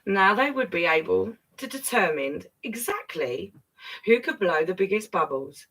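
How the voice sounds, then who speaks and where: A woman's voice: mid-range voice, animated, a bit bright, distant mic, low-quality recording. A woman reading a picture book aloud to children, with playful, chatty asides and exclamations. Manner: playful, chatty, exclaiming, drawn-out words for emphasis. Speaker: children's story reader.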